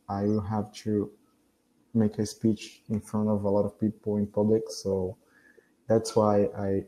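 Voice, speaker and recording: A man's voice: lowish voice, dark tone, poor audio. Hesitant young man, native Portuguese speaker. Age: young adult. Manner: hesitant, self-correcting, laughs often. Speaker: English student. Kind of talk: casual conversation practice. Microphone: video call.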